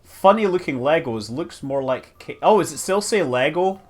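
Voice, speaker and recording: A man's voice: fairly high pitch, lively intonation, moderate pace, darkish tone. A man giving casual live stream commentary as he plays, rambling, thinking aloud and exclaiming over details. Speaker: streamer playing a space building game.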